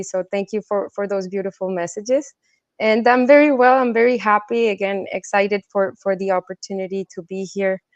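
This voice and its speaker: A woman's voice: mid-range voice, a little mellow, dry recording, lo-fi sound. Woman, dry close sound, interview guest. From Colombia, also raised in the States and China. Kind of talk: casual conversational interview answers.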